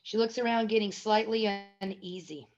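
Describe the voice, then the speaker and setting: A woman's voice: medium pitch, fairly even intonation, moderate pace, a little mellow. A woman reading stage directions as the narrator of a screenplay table read. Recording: dull, lo-fi audio.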